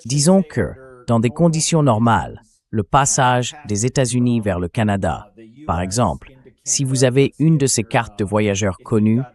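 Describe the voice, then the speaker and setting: A man reading a translated political interview as a voice-over, in a measured, explanatory way. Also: mid-range voice, lively intonation, darkish tone.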